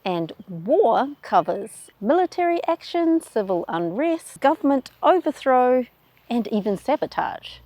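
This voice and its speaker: A woman with a New Zealand accent hosting a travel explainer in a brisk, wry, plainspoken way. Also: sits high, big pitch swings, warm tone, dry recording. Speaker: travel video host. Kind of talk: scripted explainer.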